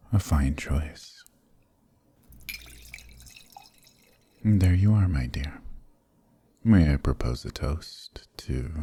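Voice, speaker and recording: Casting: dominant vampire lord for ASMR drama; dark, husky, gravelly whisper-edged voice, silky menace, close and dry. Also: male voice, rumbling low, no echo, heavily acted. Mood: courtly teasing, then sinister amusement. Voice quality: gravelly, breathy, often dropping to a whisper. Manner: courtly, silky, teasing, menacing, drawn-out phrasing. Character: possessive, sadistic, suavely dominant male vampire lord. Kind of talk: ASMR roleplay, intimate scripted monologue.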